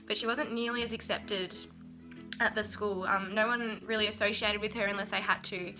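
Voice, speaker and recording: Bright young Australian woman, earnest. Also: medium pitch. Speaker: university student. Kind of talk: scripted narration for a class video.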